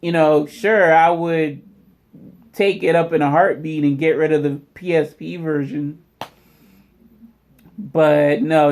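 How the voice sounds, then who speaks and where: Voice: medium pitch, a little mellow. A vlog host chatting casually and informally to viewers. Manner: informal, addresses viewers as y'all. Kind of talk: casual unboxing vlog commentary.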